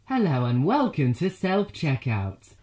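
A woman playing a flirty self-checkout machine in a comedy sketch, teasing and suggestive. Her voice is low and unhurried, and it echoes in the room. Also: big pitch swings, warm tone.

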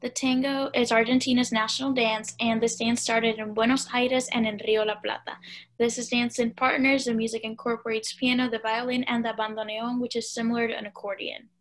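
Higher-voiced young woman from Puerto Rico. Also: flat delivery, a little fast. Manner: reading from slides. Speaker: student presenter.

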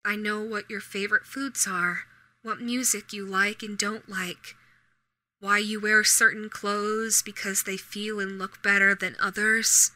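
A woman voicing an obsessive shapeshifting doppelganger posing as someone's ex, speaking haltingly in an intimate horror audio roleplay. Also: mid-range voice, fairly even intonation. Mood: hushed, obsessive longing. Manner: halting, stammering, soft-spoken in menace.

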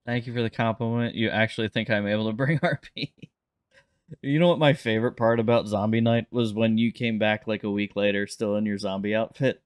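A man's voice: fairly low pitch, steady tone, a little fast, smooth, no room sound. A man improvising in a game roleplay, chatting in a hesitant, bemused manner. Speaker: roleplay streamer playing a police lieutenant.

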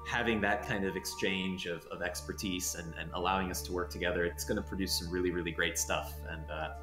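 A man with a low, deep voice speaks casually in an unscripted panel chat. The room adds a clear echo, and music plays in the background. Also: steady tone, brisk. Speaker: software team lead. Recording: roomy echo, music underneath.

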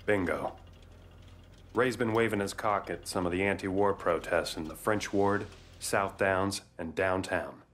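Laid back american bounty marshal, real low but sharp voice, jokey and crude, game dialogue. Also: male voice, fairly even intonation, measured pace. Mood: amused. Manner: folksy, profane, joking. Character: laid-back wry male bail marshal handing out bounties.

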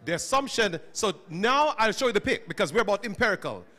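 A man's voice: high-pitched, fast talker. A middle-aged man teaching students at a school chapel, questioning and calling on them, on a handheld microphone. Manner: animated, questioning, calls on listeners. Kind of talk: interactive talk to students.

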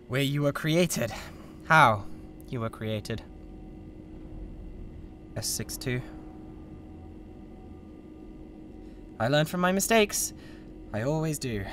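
A pompous British mad scientist with a god complex in an audio roleplay. The voice is sharp and swings widely in pitch, theatrical and full of contempt, with faint noise behind it. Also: male voice. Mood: weary, cold. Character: grandiose, volatile mad scientist playing god. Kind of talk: scripted ASMR audio roleplay.

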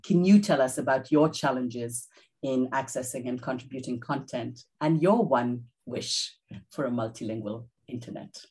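A panel moderator speaks over a video call, introducing the next guest and asking a question. The delivery is thoughtful and warm, with a few hesitant restarts. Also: medium pitch, moderate pace.

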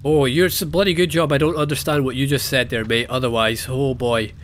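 A man's voice: mid-range voice, steady tone, fairly quick, slight background noise. A young Scottish man with a Scottish accent, commenting casually and sarcastically on a video game he is playing. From Scotland. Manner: sarcastic, jokey asides and mock voices. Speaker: let's play host.

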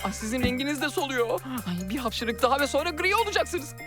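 Casting: fretful cartoon royal helper, high voice, loud music behind. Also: female voice, sing-song, fairly quick. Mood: worried. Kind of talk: children's cartoon dubbing.

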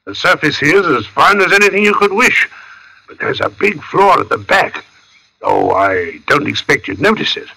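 An actor playing an amiable, chatty working-class stonemason in a 1940s radio drama, with a folksy manner. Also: male voice, on the high side, sing-song, moderate pace, vocal fry, bright, forceful tone, heavily acted, low-quality recording. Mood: friendly. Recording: old radio drama recording.

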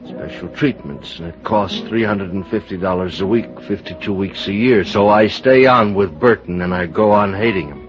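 Male actor as drunken middle-aged showman, low voice, bitter, mock-grand, rambling, old radio drama, muffled vintage recording. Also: moderate pace, hardly any pauses, music in the background, lightly acted. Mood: sad, heavy. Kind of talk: old-time American radio drama acting.

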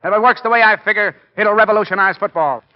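An actor playing a driven, earnest American college football coach in a brisk, folksy 1940s radio-drama style. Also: male voice, high-pitched, natural voice. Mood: eager, rousing. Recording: muffled 1940 radio broadcast.

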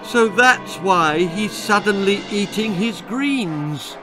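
A man's voice: sits high, lively intonation, takes it slowly, music in the background, pitched above the performer's own voice. A man voicing a distraught, scolding mother who wails and exclaims, in a children's storybook reading. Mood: exasperated, shocked. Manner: wailing, exclaiming.

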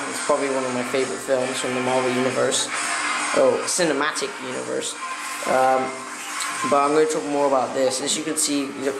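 A man with a slightly croaky voice, rambling hesitantly with many fillers as he narrates a casual craft vlog at his work table. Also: medium pitch, background noise. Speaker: stop-motion hobbyist vlogger. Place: indoor room at a work table. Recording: echoey room with music playing behind.

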